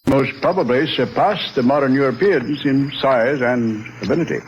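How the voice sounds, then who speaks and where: An actor playing a learned, courteous scientist in 1940s radio drama, speaking in a formal, deliberate, lecturing manner. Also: male voice, medium pitch, natural voice. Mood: assured, lecturing. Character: learned, courteous male scientist, solemn and assured. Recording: muffled, noisy 1940s radio recording.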